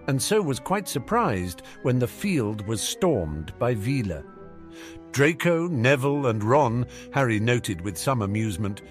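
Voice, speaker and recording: A man reading scripted fiction aloud as an audiobook narrator. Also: medium pitch.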